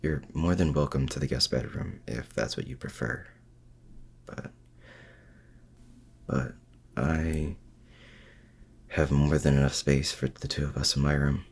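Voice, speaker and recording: An extremely low, husky male voice with plenty of fry , a man acting out the part. He is a vulnerable, lovestruck supervillain in an intimate ASMR roleplay. His delivery is halting and earnest, with a protective tenderness. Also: steady tone, full character voice. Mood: tender, protective. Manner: halting, earnest, with hesitant restarts.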